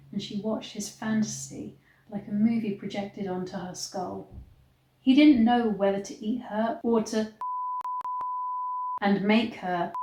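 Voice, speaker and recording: A woman reading a romance story aloud in a dramatic, expressive way, stretching words for emphasis. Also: mid-range voice. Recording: echoey, roomy sound.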